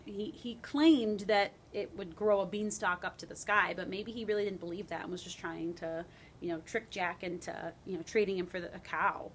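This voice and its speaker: A woman's voice: mid-range voice, fairly even intonation, normal speed, slight background noise. A woman teaching a grade school lesson, reading a story expressively and adding conversational asides and questions. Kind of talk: children's read-aloud lesson, storytelling and questions.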